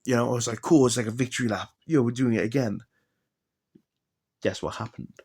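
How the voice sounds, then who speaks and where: A man's voice: mid-range voice, a little fast, a little mellow, husky. A young man rambling casually and with enthusiasm about his old video games, with lots of fillers and asides. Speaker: gaming vlogger.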